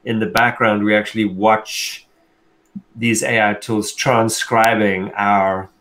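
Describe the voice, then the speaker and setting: A man's voice: lowish voice, flat delivery, slow, a bit bright. A middle-aged South African man co-hosting a casual tech chat over a video call, rambling and thinking aloud with frequent restarts. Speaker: co-host. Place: indoor room on a video call. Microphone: wired earbuds on a video call.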